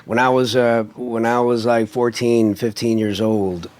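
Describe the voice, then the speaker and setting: A middle-aged man from Chicago, co-hosting a casual podcast chat, relaxed and stammering a little as he starts his stories. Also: medium pitch, unhurried. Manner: relaxed, stammering, short replies. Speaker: podcast co-host.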